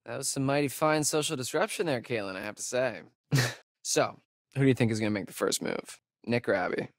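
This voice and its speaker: An actor playing a wisecracking, jittery young American camp counselor in a horror video game, with slangy, hesitant, sarcastic delivery. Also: male voice, medium pitch, fairly quick, no echo, clean studio sound, close to an everyday voice. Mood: wry banter. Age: young adult. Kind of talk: naturalistic horror game acting.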